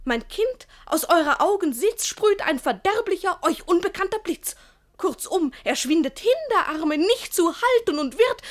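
A woman voicing a pitying, flattering old matchmaking woman in a verse radio play, emphatic, lamenting and wheedling. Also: very high-pitched, normal speed, voice pushed up. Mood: dramatic, pleading. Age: older. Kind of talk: classic German radio play in rhymed verse.

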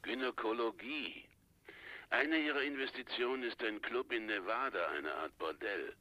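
An actor in a radio play voicing a blunt, knowing business informant with a raspy, sharp-edged voice. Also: male voice, medium pitch, moderate pace, lightly acted. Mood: matter-of-fact. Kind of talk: 1980s German radio play acting.

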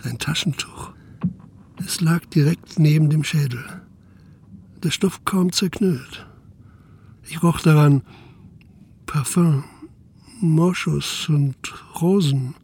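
Husky, sober police inspector. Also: male voice, a little high, slow pace, long pauses, darkish tone, heavily acted. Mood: sombre narrating. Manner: curt, matter-of-fact, understated. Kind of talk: radio drama acting with first-person narration.

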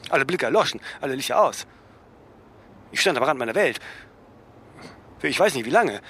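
An actor playing a cool, ironic man in a radio drama, speaking in a halting, reflective way with questioning tags. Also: fairly high pitch, fairly bright tone, breathy edge, full character voice. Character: cool, self-absorbed, ironic man in conversation, grieving his mother.

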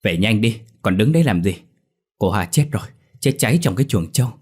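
A man's voice: lowish voice, sing-song, fairly quick. A man voicing a frantic boy in a story who urges his friend on with insistent, repeated phrases. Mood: urgent, impatient. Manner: urgent, breathless repetition, hectoring. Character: frantic boy, a friend bringing terrible news, bossy.

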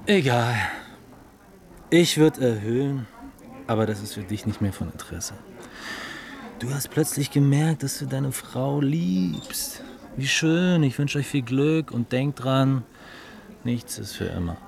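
An actor playing a cool, cynical male dealmaker in a German radio drama, taunting and deliberate, with a superior, mocking manner. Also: mid-range voice, lively intonation, vocal fry, a little mellow. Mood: coolly threatening.

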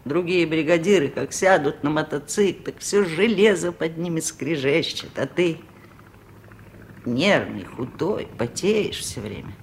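A woman's voice: low voice, animated, measured pace, a little hiss or hum. A stubborn old village auntie in an old radio play, wise, dry and chiding, takes her time. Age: older. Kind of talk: 1970s Soviet radio play acting.

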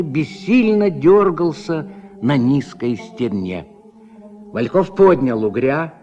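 A man giving measured, expressive literary narration in the style of 1970s Soviet radio drama. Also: a little high. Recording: dull, faintly noisy old radio recording.

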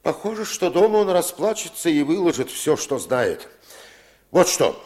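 An actor in a 1950s radio play playing a stern old French villager who leads the underground, with weighty, deliberate phrasing. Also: male voice, on the high side, sing-song, a little mellow. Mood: urgent, commanding.

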